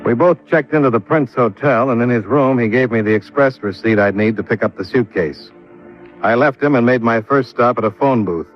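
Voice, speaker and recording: An American actor playing a dry, clipped, world-weary insurance investigator who narrates in old-time radio drama style. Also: male voice, mid-range voice, normal speed, natural voice. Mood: dry, measured. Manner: dry, clipped, hard-boiled. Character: world-weary, wry freelance insurance investigator, adult man. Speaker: lead actor and narrator of a radio detective series. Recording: dull vintage radio recording, background music.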